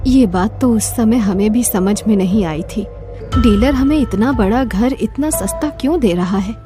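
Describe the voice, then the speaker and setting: A woman's voice: a little high, measured pace, over music, close to an everyday voice. A woman voicing a troubled middle-aged mother in a horror cartoon story. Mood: grave, uneasy. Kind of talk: Hindi horror cartoon dialogue.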